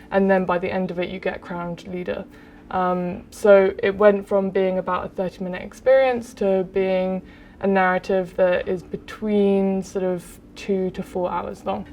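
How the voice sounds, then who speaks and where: A young adult woman, a game director, explaining her work in an interview with many pauses of "um". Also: medium pitch, a little hiss or hum. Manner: even, explanatory, with frequent "um". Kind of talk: interview answers.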